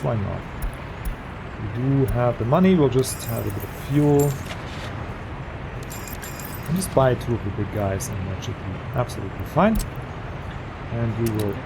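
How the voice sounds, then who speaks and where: A man who is not a native English speaker, casually commenting on a city-building game as he plays, easygoing and jokey, thinking out loud. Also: mid-range voice, background noise. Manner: easygoing, jokey, thinks aloud while playing. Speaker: let's play host.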